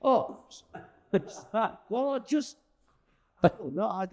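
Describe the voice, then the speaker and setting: A man's voice: very high-pitched, extremely slow, creaky. A middle-aged or older man, chatting in a jokey, theatrical and emphatic way as an interviewed performer. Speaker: pantomime performer being interviewed. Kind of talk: casual interview chat.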